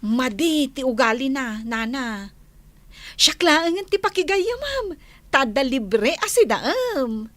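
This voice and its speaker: A woman's voice: sits high, big pitch swings, takes it slowly, a bit bright, dry recording, heavily acted. An actress playing a sweet-talking, scheming young village woman with an Ilocano accent, coaxing expressively in a comedy radio drama. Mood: coaxing, complaining.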